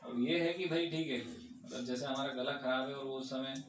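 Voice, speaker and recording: A man's voice: medium pitch, fairly even intonation, normal speed. A man leading an informal question-and-answer discourse in a temple hall, talking conversationally and often checking that listeners agree. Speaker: devotee speaker answering audience questions. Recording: echoey room, far from the mic, faint hum.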